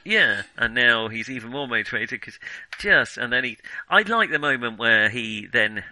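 A man's voice: medium pitch, animated, moderate pace, pushed and bright, dry recording, low-quality recording. A man casually critiquing films in conversation, opinionated, often breaking off and restarting his thoughts as he goes. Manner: hesitant, self-interrupting, opinionated. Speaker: film discussion podcast host. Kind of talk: casual film criticism chat.

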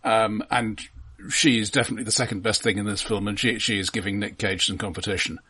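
Low, slightly husky man talking films. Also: fairly even intonation, fast, sharp tone. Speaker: film review podcast co-host. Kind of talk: casual film discussion.

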